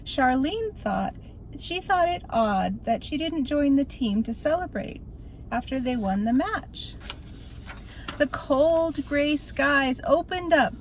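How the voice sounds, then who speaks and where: An older woman reading a spooky story aloud in an expressive, theatrical storytelling manner. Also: high voice, a little hiss or hum, poor audio.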